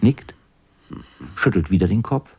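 A man's voice: lowish voice, lively intonation, unhurried, dark tone, breathy edge, exaggerated voice. A man narrating a scripted children's radio play as an observant storyteller.

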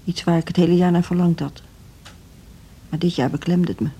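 A lowish, mellow middle-aged female voice with a Netherlands Dutch accent. She is a reserved school director who speaks in a measured, formal and sceptical way. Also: fairly even intonation, slightly rough, low-quality recording. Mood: subdued, wistful. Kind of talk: radio drama acting.

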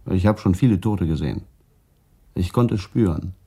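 A reflective middle-aged policeman in a radio crime play. His voice is mellow and husky with some creak, and he talks in a measured, guarded manner. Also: deep voice, animated, full character voice. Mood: calm, precise. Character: calm, reflective Papuan-Dutch traffic policeman being questioned. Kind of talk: German radio crime drama acting.

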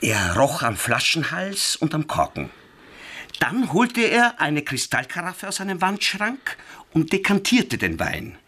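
Wry krimi narrator, highish sharp voice, takes his time, relishes it. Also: huge pitch swings, slightly rough, full character voice. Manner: deliberate, relishing, clipped phrases. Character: wry, savouring male narrator of a crime tale. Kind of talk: scripted first-person radio drama narration.

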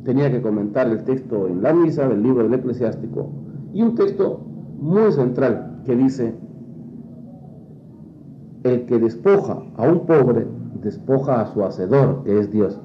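A man, a priest and scholar, explaining in an interview in a halting, didactic way. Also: medium pitch, animated. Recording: dull lo-fi interview, faint noise.

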